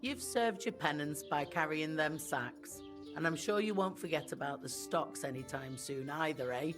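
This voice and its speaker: An actress playing an earthy, shrewd medieval tavern keeper in a video game, talking in a frank, folksy, casual way. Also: female voice, sits low, normal speed, music in the background, natural voice. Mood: easygoing, frank. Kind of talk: video game dialogue acting.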